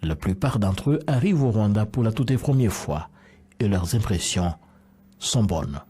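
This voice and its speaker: A man reading a scripted French-language news report as a reporter, in a formal, measured way. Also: on the low side, lively intonation, warm tone.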